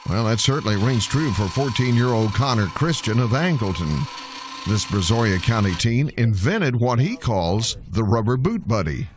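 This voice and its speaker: A man reports a scripted TV news feature with lively, varied intonation. His pitch is average, and faint noise sits behind the voice.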